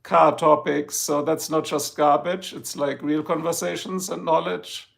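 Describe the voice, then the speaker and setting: Gravelly German-accented man chatting on a stream. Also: fairly high pitch, flat delivery, measured pace, fairly bright tone. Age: older. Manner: rambling, jokey, chatting with viewers. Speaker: live stream host. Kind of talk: casual chat. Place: home, in Ohio.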